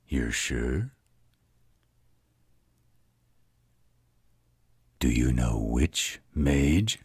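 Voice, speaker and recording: A man playing a gruff, protective demon father in a fantasy audio roleplay, with a gravelly voice and a reassuring drawl. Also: a little mellow, dry recording, studio quality, exaggerated voice. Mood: steady, probing. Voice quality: very deep, gravelly husky voice.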